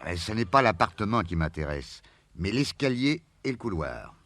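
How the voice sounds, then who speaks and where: A man playing a shrewd, patient detective in a crime radio play, probing and calmly assured. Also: lowish voice, normal speed, soft background music. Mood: assured, explaining. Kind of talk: French radio crime drama acting.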